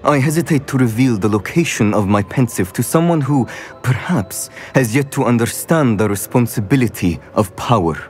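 An actor playing a wise, guarded professor who speaks in a measured, formal way. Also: male voice, medium pitch, lively intonation, soft background music, lightly acted. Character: guarded wise old professor, a portrait keeper.

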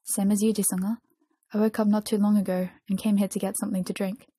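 A woman's voice: mid-range voice, flat delivery, fast talker, warm tone, husky, no echo, clean studio sound, close to an everyday voice. A woman voicing a weary, self-doubting young male pop idol in a story, evasive and troubled in manner.

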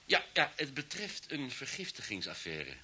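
Casting: businesslike inspector, radio drama, mid pitch, animated intonation, formal. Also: male voice, moderate pace, breathy edge, heavily acted. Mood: courteous, businesslike. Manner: formal, matter-of-fact. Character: courteous, businesslike male police inspector. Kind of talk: Dutch radio play acting.

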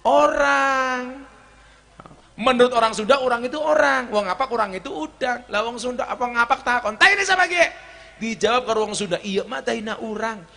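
Middle-aged man, javanese accent, comic preacher, high bright voice, handheld mic, outdoor stage. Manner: joking, switches between shouting and low asides; walks the stage with a cane. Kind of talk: humorous outdoor sermon. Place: outdoor stage before a large crowd.

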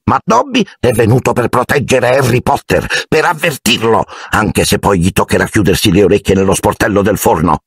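A tiny house-elf, pitched far above a man's normal talk, with a squeaky, hoarse edge. He is servile, emotional and anxious, swooping about as he pleads in a storybook reading. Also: a bit bright, dry recording, clean studio sound, full character voice. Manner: speaks of himself in third person, deferential.

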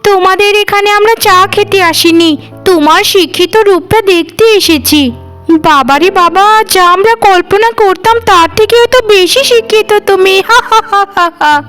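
A woman's voice: very high voice, moderate pace, fairly bright tone, soft background music. A woman voicing a mocking, gossipy young village housewife in a cartoon, taunting in a sing-song way. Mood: sneering. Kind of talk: cartoon voice acting.

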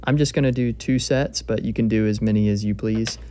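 A man calmly narrating a step-by-step fly-tying tutorial at his bench. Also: fairly low pitch, fairly quick, a little hiss or hum. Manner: calm, steady, explanatory.

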